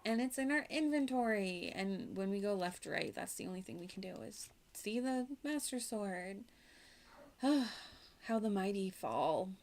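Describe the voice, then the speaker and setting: A woman's voice: mid-range voice, lively intonation, a little slow, darkish tone. A woman streaming a game with casual, slangy commentary, rambling as she reacts aloud.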